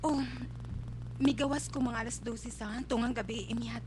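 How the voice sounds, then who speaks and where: A woman's voice: fairly high pitch, steady tone, moderate pace, a little mellow, a little hiss or hum, close to an everyday voice. A woman playing a devoted, lovestruck young daughter of a rich family, yearning, with a Cebuano accent in a radio drama. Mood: secretive. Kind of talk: melodramatic radio drama acting.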